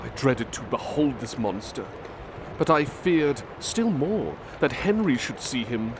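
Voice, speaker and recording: A man reading a classic novel aloud in a slow, measured, soft-spoken way, as a butler in an ASMR roleplay. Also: mid-range voice, background noise.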